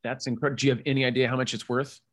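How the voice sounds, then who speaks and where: Upbeat male podcast host, rapid casual delivery, chatty. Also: medium pitch, little pitch movement. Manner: upbeat, chatty, many asides. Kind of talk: casual interview chat.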